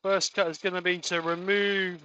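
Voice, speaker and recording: A man's voice: sits high, flat delivery, moderate pace, bright, forceful tone, clean tone, dull audio. A man giving a live woodturning demonstration in his workshop, explaining each step as he works and sometimes trailing off mid-sentence. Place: home workshop.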